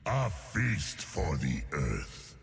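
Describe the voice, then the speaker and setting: An actor voicing a huge, savage, ancient bear demigod for a video game, gravelly and booming, with a subtle doubled echo effect. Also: male voice, very animated, drawn-out words, heavily acted. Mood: savage, solemn. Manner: menacing, solemn proclamations. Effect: subtle echo and chorus doubling. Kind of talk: video game character voice lines.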